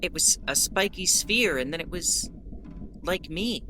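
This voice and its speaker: A woman's voice: fairly low pitch, expressive, unhurried, pushed and bright, slight background noise. A woman voicing a winged, four-armed female alien starship captain who speaks in an earnest, explanatory way. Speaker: ship captain. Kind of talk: audio drama narration.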